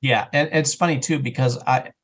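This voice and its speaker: A man talking as a podcast guest in a casual, enthusiastic and animated way, slipping into imagined remarks as an audio drama creator. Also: mid-range voice, normal speed. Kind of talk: casual enthusiastic interview chat.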